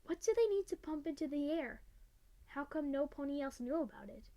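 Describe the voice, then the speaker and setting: Woman acting dutiful young female pony, high pitch, earnest delivery, audio drama. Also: expressive, brisk, dark tone. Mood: grave, reading aloud.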